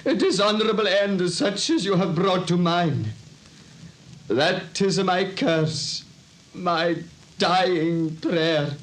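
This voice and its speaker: A dying violinist's curse. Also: male voice, high-pitched, low-quality recording. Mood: cursing. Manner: slow, solemn and vengeful. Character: dying Italian violinist cursing his betrayers. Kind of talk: 1930s radio acting.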